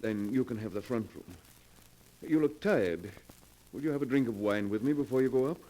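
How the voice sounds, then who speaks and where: An actor playing a genteel, unstable recluse in a 1940s radio drama, smooth-spoken and persuasive. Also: male voice, mid-range voice, lively intonation, normal speed, warm tone, natural voice, low-quality recording. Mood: tense.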